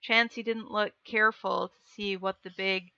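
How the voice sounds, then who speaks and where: Woman as an alarmed country housekeeper, bright voice. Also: mid-range voice, steady tone, normal speed, creaky, smooth, dry recording. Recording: dull lo-fi dry recording.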